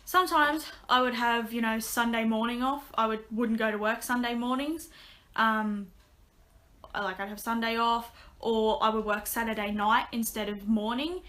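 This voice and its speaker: A young woman speaks into a desk webcam in a small bedroom, rambling through a casual update. Her voice sits a little high and sounds bright and forward, with a touch of rasp. She trails into ums and restarts her sentences often. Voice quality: slightly raspy. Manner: chatty, many ums and restarts. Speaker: vlogger.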